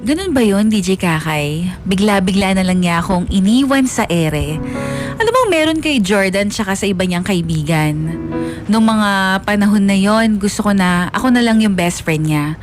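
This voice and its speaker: A woman playing a lovestruck young woman who narrates her high school crush in a confiding, girlish way for a radio drama. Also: expressive, normal speed, over music, natural voice. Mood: wistful, resentful.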